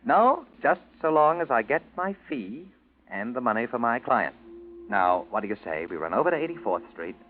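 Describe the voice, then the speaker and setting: An actor playing a slick, mercenary lawyer in a 1940s radio drama, glib and insinuating, on a thin old recording. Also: male voice, medium pitch, sing-song, moderate pace, phone-quality sound, a little hiss or hum, lightly acted. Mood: sly, brisk. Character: slick, mercenary male lawyer, brisk and knowing.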